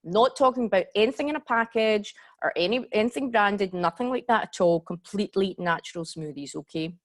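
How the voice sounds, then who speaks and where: A woman's voice: mid-range voice, a little fast. Glasgow lady in her 40s running a zoom yoga workshop, chatty, keeps saying okay and guys, computer mic. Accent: Glaswegian. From Scotland. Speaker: yoga teacher and workshop host. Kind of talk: live online workshop talk. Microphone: computer mic on a video call.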